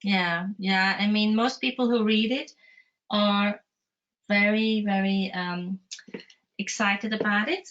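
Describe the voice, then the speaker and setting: A woman's voice: mid-range voice, fairly even intonation, slow pace, fairly bright tone. Woman, non-native English speaker, interview host, casual, self-deprecating, dull lo-fi call audio. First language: not English. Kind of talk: casual online conversation. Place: remote video call.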